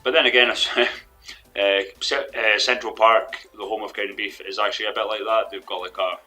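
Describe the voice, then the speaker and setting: Lad, deep but bright voice, going a bit hoarse, rambling through his football game, faint music underneath. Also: steady tone, moderate pace. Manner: rambling, hesitant, with restarts. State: losing his voice. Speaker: gaming commentator. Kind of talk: let's play commentary.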